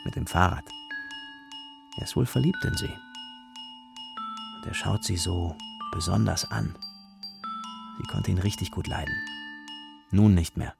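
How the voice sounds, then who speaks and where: A man's voice: low voice, creaky, exaggerated voice. A man narrating a children's radio play as its storyteller, with guitar music behind him. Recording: loud guitar music under the voice. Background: plucked guitar music.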